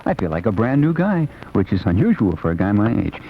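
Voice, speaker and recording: A man's voice: lowish voice, very animated, fairly quick, breathy edge. An American man hosting a late-night 1970s music radio show, chatting in a casual, playful, rambling way. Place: radio studio. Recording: dull, noisy 1970s AM radio aircheck.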